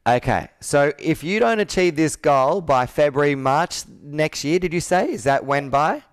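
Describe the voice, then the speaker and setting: A man's voice: fairly high pitch, expressive, moderate pace, clean tone, no room sound, clean studio sound. A man in his thirties coaching on a sales call, telling personal stories earnestly and asking persuasive rhetorical questions. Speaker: business coach. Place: indoor room with whiteboard, video call.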